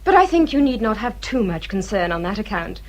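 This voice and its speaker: An actress playing a haughty but deferential grown daughter of English gentry in a period radio drama, speaking formally and persuasively. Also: female voice, mid-range voice, big pitch swings, a little fast, a little mellow. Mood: dismissive.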